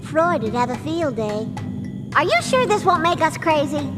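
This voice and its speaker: A woman's voice: high-pitched, sing-song, moderate pace. A woman voicing a cute little cartoon kid sibling in a video game, in a cutesy manner. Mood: knowing. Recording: very loud music behind the voice.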